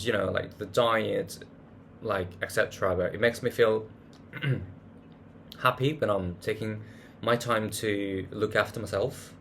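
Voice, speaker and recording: A man's voice: fairly low pitch, vocal fry, a bit bright, a little hiss or hum. A man chatting casually through a monthly recap vlog, hunting for words with many fillers and restarts. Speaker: vlogger.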